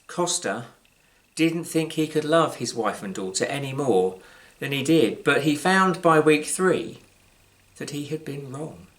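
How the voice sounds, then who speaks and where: A British man reads his own short story aloud in a measured, wry way, with deliberate pauses and varied emphasis. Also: fairly high pitch, fairly bright tone. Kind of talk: scripted literary storytelling, live reading.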